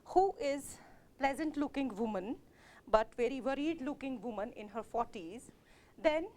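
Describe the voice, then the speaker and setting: A woman's voice: sits high, darkish tone. A woman lecturer explaining a play to students, speaking in an explanatory way with frequent "uh, you know" fillers, whose first language is Kashmiri. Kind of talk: recorded literature lecture.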